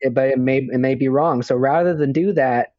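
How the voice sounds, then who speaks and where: A man's voice: mid-range voice, moderate pace, no room sound. A man co-hosting a podcast in casual chat, talking hesitantly with lots of fillers and restarts. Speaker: podcast co-host. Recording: dull lo-fi audio.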